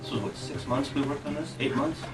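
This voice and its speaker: A man who works as an art director, giving relaxed, agreeable commentary over game footage and looking back on past work. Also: mid-range voice, a little fast. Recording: roomy, distant mic with game music. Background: game music.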